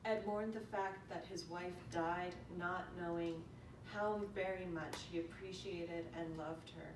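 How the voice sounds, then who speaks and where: Woman reading a eulogy in an echoey room. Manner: storytelling, reading aloud from a prepared text. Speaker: eulogy reader. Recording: echoey room, distant mic, noisy rumble.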